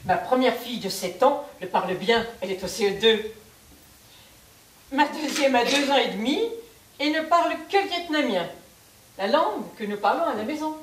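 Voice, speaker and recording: A woman performer giving a measured theatrical recitation of testimonies on a stage. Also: fairly high pitch, expressive. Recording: echoey stage, distant mic, faint noise.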